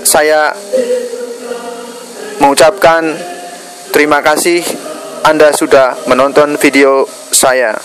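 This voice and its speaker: Man, Javanese Indonesian accent, slightly high voice, halting delivery, earnest, preachy, addressing viewers. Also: little pitch movement, a bit bright, faint music behind, poor audio. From Indonesia, Java. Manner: halting, pausing mid-phrase. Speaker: video blogger.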